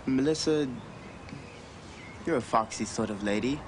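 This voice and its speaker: A man's voice: medium pitch, measured pace, noisy background, natural voice. A young actor playing an awkward, caring teenage boy in a naturalistic drama. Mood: rehearsed suaveness. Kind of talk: naturalistic teen drama acting.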